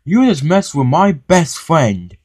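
A man voicing an indignant, fiercely loyal steam engine in a children's story, protesting forcefully with some stammering. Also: on the high side, very animated, takes it slowly, dry recording, studio quality, pitched above the performer's own voice, exaggerated voice.

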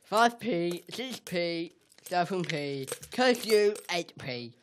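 A man acts a bored, reluctant young guy in a radio play. He grumbles at an unhurried pace, with faint noise behind him. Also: high voice, expressive. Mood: grudging. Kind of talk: amateur radio play acting.

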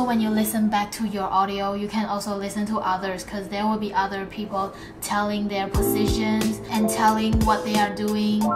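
A young woman giving casual tips to camera in simple, informal wording with non-native phrasing. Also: medium pitch. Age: young adult. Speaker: student pilot vlogger. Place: small room indoors. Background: background music.

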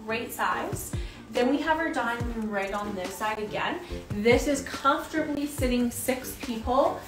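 A woman's voice: fairly high pitch, lively intonation, measured pace. A woman working as a real estate agent, leading an upbeat walkthrough of a house and repeating words for emphasis. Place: inside a house, walking room to room. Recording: roomy, from a distance, music behind.

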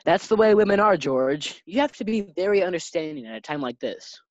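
An actor plays a steady male office friend in a radio sitcom, calm and full of advice. The recording is dull and dry. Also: medium pitch, a little fast, dry recording, lightly acted. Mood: knowing. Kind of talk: 1940s radio sitcom acting.

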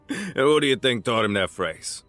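An actor playing an older crime boss in an audio drama, patronizing and deliberate, with a smug, folksy menace. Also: male voice, mid-range voice, very animated, a bit bright, soft background music. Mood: sly, needling.

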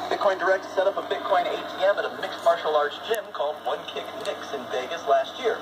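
A high-voiced male radio broadcaster reads out a news item. It comes from an old radio speaker some way from the microphone, with clear static noise. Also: fairly quick, distant mic. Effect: played through an antique radio speaker.

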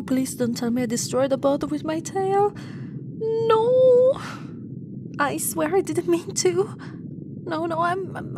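Woman acting as high-voiced, animated giant mermaid girl. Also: background noise. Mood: startled, panicked. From Italy. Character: giant young female mermaid, cute, anxious, kindly. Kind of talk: ASMR roleplay.

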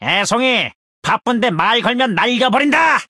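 A woman's voice: on the high side, expressive, moderate pace, sharp tone, no echo, clean studio sound. A woman voicing a cheeky, hot-tempered little panda mercenary in a game, with punchy, blustering delivery. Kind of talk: Korean mobile game character voice lines.

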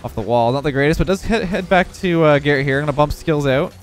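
A young man with a congested voice giving relaxed running commentary on his own video game match. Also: fairly high pitch, fast, soft background music. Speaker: gaming video creator. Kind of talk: live gameplay commentary.

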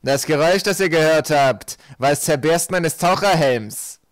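A man voicing a theatrical, gloating villainous count with a mocking, drawn-out, declamatory delivery. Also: a little high, no room sound, voice pushed up, lightly acted. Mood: gloating, scornful.

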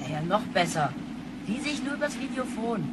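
High-pitched, brash young granddaughter. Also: female voice, normal speed, heavy background noise. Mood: incredulous, scornful. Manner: slangy, excitable, snappish. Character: brash, spoiled, jumpy futuristic young granddaughter, paranoid about contamination. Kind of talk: sci-fi radio drama acting.

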